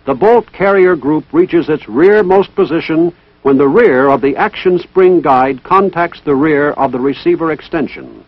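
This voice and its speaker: A man's voice: a little high, unhurried, hardly any pauses, slightly rough, no room sound. A man gives formal, methodical scripted narration as the narrator of an instructional training film. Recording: dull, band-limited vintage military film soundtrack.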